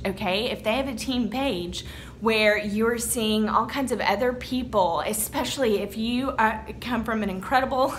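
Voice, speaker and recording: A woman's voice: on the high side, pushed and bright, slight background noise. A young woman with a Texan accent giving an encouraging motivational talk to her business team, often checking in with "okay?". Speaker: network marketing team leader.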